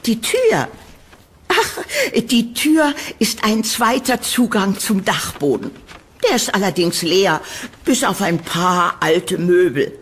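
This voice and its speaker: A woman playing an eccentric old landlady in a horror audio drama, gushing and doting in a halting, theatrical, eerily sweet way. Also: mid-range voice, big pitch swings, slightly rough, exaggerated voice. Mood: evasive, halting. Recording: reverberant room, background noise.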